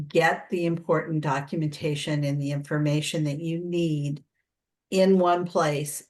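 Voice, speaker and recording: An older American woman with a low-pitched voice leads an informal workshop. She explains in a conversational way, doubling back to correct herself as she goes. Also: darkish tone. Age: 60s or older. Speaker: preparedness coach.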